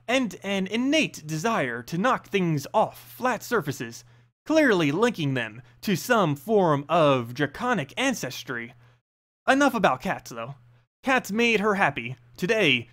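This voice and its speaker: A man's voice: sits high, fairly bright tone. A man reading a fantasy story aloud as a narrator, with a dramatic storytelling delivery.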